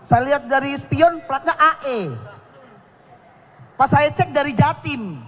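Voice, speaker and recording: A man with a very high voice performs stand-up on a contest stage. He talks in a cocky, teasing way and mocks people with quick jokes. Laughter from the crowd comes in behind him. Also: a bit bright. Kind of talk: stand-up comedy set. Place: stage at a live comedy contest. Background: audience laughter.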